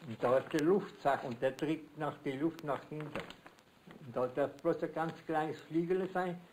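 An older man with a Swabian accent from southern Germany, explaining informally as an amateur inventor in halting, repetitive phrases. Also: a little high, slight background noise, low-quality recording.

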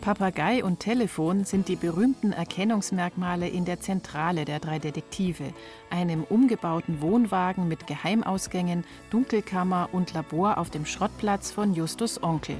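A woman narrates a radio feature as its reporter, reading from a script. Her voice sits fairly low, with music underneath. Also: moderate pace, darkish tone.

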